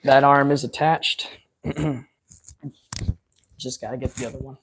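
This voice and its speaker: A man's voice: medium pitch, level tone, measured pace, slight background noise, rough recording. A man hosting a casual live unboxing stream, rambling with lots of fillers and losing his voice from talking so much. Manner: rambling, filler-heavy, enthusiastic.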